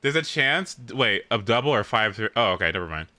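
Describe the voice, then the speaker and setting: Wildly animated bright-voiced man. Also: fairly quick. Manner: animated, leaps into squeaky high exclamations. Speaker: live streamer playing a video game. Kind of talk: casual gaming stream chat.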